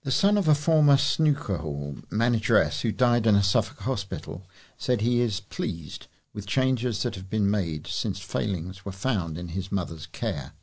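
A man's voice: fairly low pitch, animated, a little slow, darkish tone. A British man reading local news aloud as a volunteer news reader.

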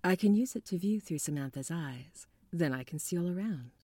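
A woman's voice: lowish voice, animated, normal speed, warm tone, breathy edge, no echo, clean studio sound. A woman acting the part of a cool, confident, secretive occult detective, composed and matter-of-fact, in a 1940s noir radio drama.

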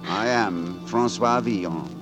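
An actor playing a gallant, roguish gutter poet and leader of rabble in a theatrical, declamatory 1940s radio drama style. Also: male voice, lowish voice, slow pace. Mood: tender, rueful. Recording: 1940s radio broadcast with music behind.

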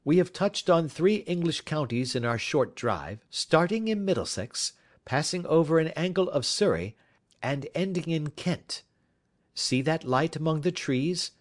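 A male audiobook reader voicing a calm, reserved gentleman detective who speaks in a measured, precise way. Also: on the high side, voice pushed up. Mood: explaining.

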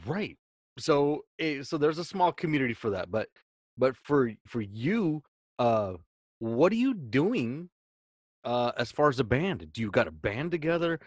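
An easygoing man from Mexico hosts a casual chat, asking questions in a halting way full of fillers. His voice sits at a middle pitch, recorded on a dry, close microphone. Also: sing-song, no room sound. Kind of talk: casual interview.

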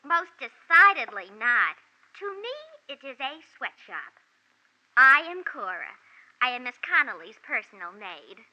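A woman's voice: high voice, big pitch swings, unhurried, pushed and bright, heavily acted. A woman playing a brassy maid and dancer in a 1940s radio drama, putting on stilted, refined diction mixed with slangy wisecracks. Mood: wisecracking. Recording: dull vintage 1940s radio recording.

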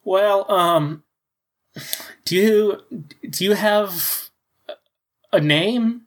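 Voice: medium pitch, drawn-out words, pitched above the performer's own voice. A player voicing a stunned young woman mech pilot who stammers in a raised voice. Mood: tentative.

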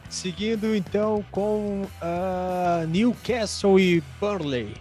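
A man co-hosting a podcast, chatting casually about football with short, unhurried remarks. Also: sits high, darkish tone, faint music behind. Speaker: podcast co-host.

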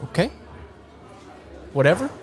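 A man's voice: a little slow, natural voice. A man playing an accommodating, casual bartender in an improvised audio drama. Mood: easygoing. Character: easygoing male bartender taking orders. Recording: loud bar crowd behind voice.

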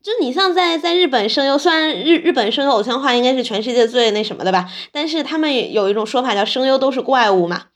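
Higher-voiced woman in casual conversation. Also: lively intonation, fast, few pauses. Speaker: podcast host. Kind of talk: casual chat.

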